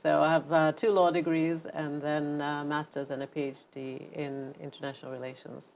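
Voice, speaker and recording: A woman's voice: sits low, level tone, moderate pace, creaky, highs cut off, very noisy. A woman in her fifties from Zambia, a novelist and guest in an interview, telling her story fluently and laughing easily. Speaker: author guest. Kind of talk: relaxed conversational interview.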